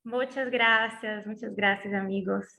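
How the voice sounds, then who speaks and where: A woman from Brazil, a native Portuguese speaker with a Brazilian accent, opening an online lecture in halting Spanish, often restarting words as she searches for them. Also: mid-range voice, fairly even intonation, gravelly, pushed and bright. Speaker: guest speaker, lawyer.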